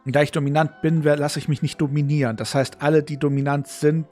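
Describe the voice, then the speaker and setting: The man you would find on a late game stream, halting and casual in a highish voice, soundtrack playing underneath. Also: level tone, a little mellow, soft background music. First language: German. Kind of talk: casual live commentary, reading game dialogue aloud.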